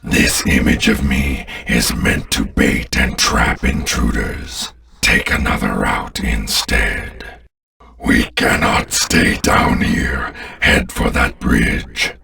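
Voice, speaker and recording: A man voicing an ancient, menacing alien warlord in a video game, growling and gravelly, with an imperious, contemptuous, grandiose delivery. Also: a bass voice, slow, bright, forceful tone, distant mic, exaggerated voice. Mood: commanding. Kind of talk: dramatic video game villain voice acting.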